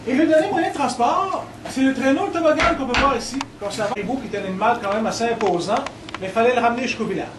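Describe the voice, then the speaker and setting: A man's voice: very high-pitched, fast talker. A man from Quebec's North Shore with a Quebec French accent, giving a guided tour to visitors in a playful, teasing way. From Quebec, Côte-Nord. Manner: playful, teases the audience. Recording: distant camera audio with loud room noise.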